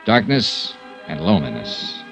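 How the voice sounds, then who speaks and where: An actor playing a tough, persistent American insurance investigator in a 1950s radio drama, speaking in a blunt, clipped way. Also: male voice, deep voice, sing-song, measured pace, gravelly, over music, exaggerated voice. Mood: brooding narration. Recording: dull vintage radio recording.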